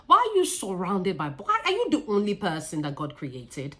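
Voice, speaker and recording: A woman's voice: mid-range voice, wildly swooping pitch, moderate pace, a bit bright. A woman with a Nigerian accent telling a personal story in a casual vlog, emphatic and repeating phrases for stress. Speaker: vlogger, business owner.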